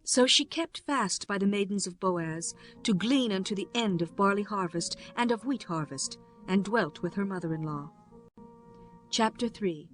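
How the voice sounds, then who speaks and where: A woman's voice: mid-range voice, lively intonation, normal speed, soft background music. A woman narrator reading a scripted scripture audiobook.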